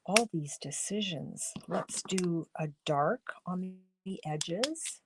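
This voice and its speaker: Woman host of a live craft stream, slightly hoarse, slow and chatty, greets viewers as she works. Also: lowish voice, lively intonation, noisy background. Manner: chatty, thinks aloud while coloring, greets viewers by name. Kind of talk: casual live crafting demo talking to viewers.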